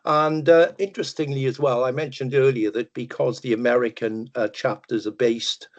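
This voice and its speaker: An older man with a Welsh accent gives a relaxed webinar talk, joking at his own expense and filling pauses with ums and uhs. His voice sits at a middling pitch. The video call audio is a bit muffled. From Wales. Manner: relaxed, chatty, self-deprecating humour with many ums and uhs. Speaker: webinar presenter. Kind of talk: presentation over a video call. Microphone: video call microphone.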